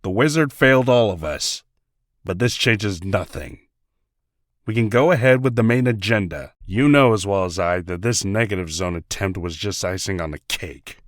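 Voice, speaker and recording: A man's voice: fairly low pitch, normal speed, dry recording, clean studio sound, lightly acted. A man playing a calculating, self-assured villainous conspirator with a composed manner in a comic book dub. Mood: scheming. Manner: composed, scheming.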